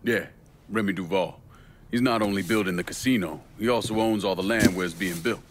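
Low-voiced, commanding young crime boss. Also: male voice, fairly quick. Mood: grim, matter-of-fact. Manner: firm, profane.

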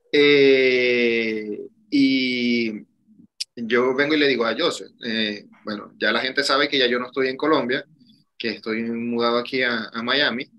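A man's voice: mid-range voice, sharp tone, muffled recording. A man in his thirties, a cigar shop owner, talking casually over a video call from a small room, drawing out his phrases with many fillers. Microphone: webcam on a video call.

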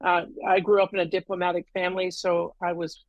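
A woman's voice: fairly low pitch, little pitch movement, brisk, no echo, lo-fi sound. A middle-aged woman, a guest on a video call, telling her story at length with many ums and uhs. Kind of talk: casual interview. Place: room at home, green wall.

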